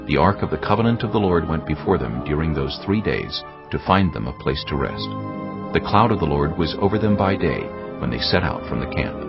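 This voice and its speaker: Man, very low voice, lively intonation, solemn scripted Bible narration, soft background music. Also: brisk. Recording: dull lo-fi sound with music.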